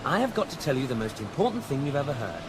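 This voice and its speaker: An actor playing an insistent alien passing as an ordinary man, brisk and urgent, in a comic radio drama. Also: medium pitch, very animated, noisy background, lightly acted.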